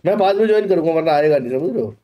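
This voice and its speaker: High-pitched, animated man. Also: rapid-fire, muffled recording. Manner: brash, slangy teasing. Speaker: gaming streamer. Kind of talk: casual squad chat while gaming.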